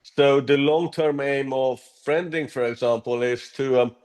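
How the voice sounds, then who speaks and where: A man's voice: medium pitch, fairly even intonation, a little mellow. Earnest Hungarian-born man, interview guest. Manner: earnest, measured, with frequent ums and restarts. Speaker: app co-founder, guest. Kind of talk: interview answers.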